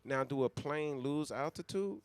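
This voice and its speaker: An American man co-hosting a radio show with casual, profane, slangy banter and an animated street manner. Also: fairly high pitch, normal speed, dry recording. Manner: animated street-slang talk, often asks "you know what I'm saying".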